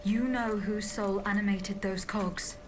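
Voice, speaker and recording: An actress playing a composed royal bodyguard in a video game, speaking formally and with earnest insistence. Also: female voice, mid-range voice, fairly even intonation, measured pace, noisy background, lightly acted. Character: composed, insistent bodyguard woman revealing hard truths. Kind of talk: dramatic video game cutscene acting. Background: music.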